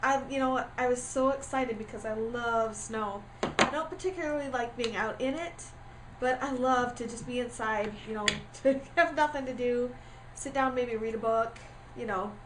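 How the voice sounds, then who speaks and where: Woman, high voice, congested from a cold, chatty and full of filler words, camera-mic sound with faint ambience. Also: moderate pace, background noise. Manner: chatty with frequent ums and uhs. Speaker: craft tutorial host. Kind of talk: casual craft tutorial.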